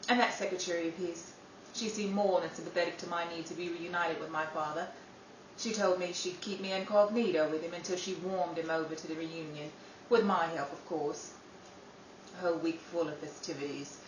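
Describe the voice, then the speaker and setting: An echoey, distant, noisy recording carries a woman acting as a bitter, scheming daughter in a radio-style drama. She speaks dramatically and deliberately, plotting with theatrical weight. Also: fairly low pitch, fairly even intonation, natural voice. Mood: brooding. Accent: English. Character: bitter, scheming estranged daughter, plotting against her blind father.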